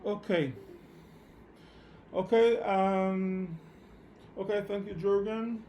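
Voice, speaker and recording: A man who speaks English as a second language, teaching programming in a live online lecture with halting phrasing and repeated words. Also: high voice, steady tone, dark tone, a little hiss or hum. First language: not English. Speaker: programming instructor. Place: online meetup session at a computer.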